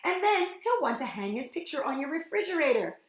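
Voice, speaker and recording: A woman hosting storytime, reading a picture book aloud to young children in an animated, playful way with chatty asides. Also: high-pitched, fairly quick, echoey room.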